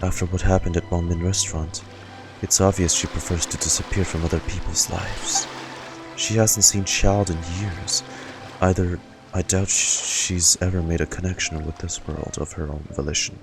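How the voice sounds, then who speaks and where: A man's voice: sits low, steady tone, moderate pace. A man streamer reacting casually to a game and reading its dialogue aloud over game music. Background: loud game music.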